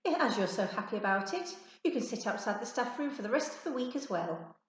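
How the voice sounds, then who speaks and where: A woman's voice: a little high, sing-song, brisk. Lady doing a cross strict man teacher from a kids storybook, drawly and bossy, roomy sound. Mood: sarcastic, stern. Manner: barking orders, drawling. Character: irate male schoolteacher, stern and sarcastic.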